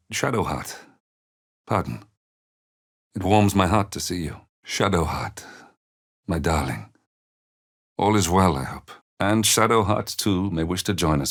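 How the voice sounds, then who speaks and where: A man playing a gentle, weary older father in a fantasy video game, speaking in measured, earnest, formal phrases. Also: low voice. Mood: warm, gentle. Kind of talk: dramatic fantasy game dialogue.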